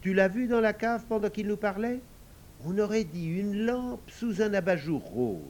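A man's voice: high-pitched, lively intonation, normal speed, a little mellow, voice pushed up. A man doing a curious, anxious little girl. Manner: curious, worried.

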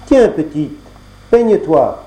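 A man's voice: on the high side, big pitch swings, slow pace, dark tone, pitched above the performer's own voice. A man voicing a kind, motherly gardener woman, in an echoey room. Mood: kindly.

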